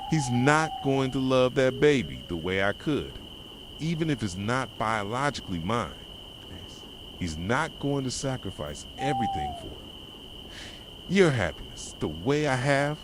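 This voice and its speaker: Soft voice guy playing an intense possessive boyfriend, asmr roleplay, pitch all over the place, loud night crickets n owls behind. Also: noisy background. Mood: possessive, devoted. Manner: intense, pleading yet controlling, addressing a partner directly. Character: possessive, obsessive boyfriend confronting his pregnant girlfriend. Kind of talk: ASMR roleplay, dramatic monologue. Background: crickets, insects and hooting owls.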